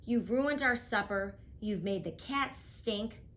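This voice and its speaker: A woman's voice: medium pitch, takes it slowly, a bit bright, pitched above the performer's own voice. A woman voicing fed-up parents who scold their child in a stern tone, as part of a storybook reading.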